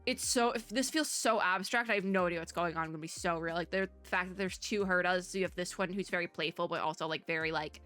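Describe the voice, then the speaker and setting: A woman giving casual, excited reaction commentary in a chatty, rambling and expressive way. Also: on the low side, fast talker, hardly any pauses, faint music behind. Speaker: reaction video host.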